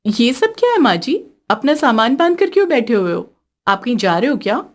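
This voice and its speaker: Woman as a fretful young daughter-in-law, high-pitched. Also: sing-song, a little fast. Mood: concerned.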